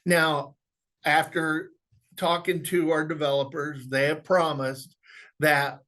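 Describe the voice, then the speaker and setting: A man presenting an instructional software walkthrough, explaining step by step in a conversational way. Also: on the high side, flat delivery, takes it slowly. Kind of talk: instructional screen walkthrough, informal webinar.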